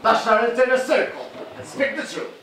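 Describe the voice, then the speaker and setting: Man playing high-pitched, pompous North Pole magistrate, echoey. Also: sing-song, fairly quick. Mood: solemn, commanding. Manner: grandly formal, declamatory. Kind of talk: theatrical stage monologue. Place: indoor theatre stage. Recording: echoey theatre, distant mic, faint noise.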